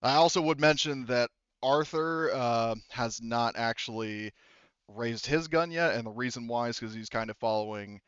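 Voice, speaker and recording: A man's voice: mid-range voice, normal speed, a bit bright, smooth, dry recording, lo-fi sound. A man running a tabletop roleplaying game as game master, narrating in a rambling, casual, improvised way. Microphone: close headset.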